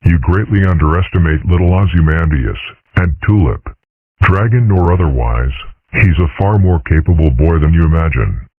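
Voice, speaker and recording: A man voicing a stern, dry-witted dragon father in a comic strip dub, husky and measured. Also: lively intonation, no echo. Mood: firm. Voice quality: husky, very deep. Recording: muffled phone-like band.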